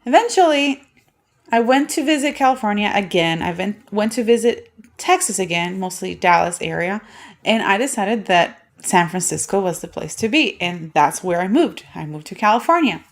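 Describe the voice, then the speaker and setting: A woman's voice: mid-range voice, expressive, normal speed. A woman in her thirties from Puerto Rico, hosting a solo podcast and telling a personal story in a chatty way. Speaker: podcast host.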